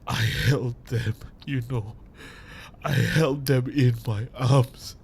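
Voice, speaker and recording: A man acting a grieving, unhinged father turned vengeful villain in a dramatic audio monologue, with menacing, anguished delivery and long pauses. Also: mid-range voice, fairly even intonation, vocal fry, slight background noise. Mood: grief-stricken.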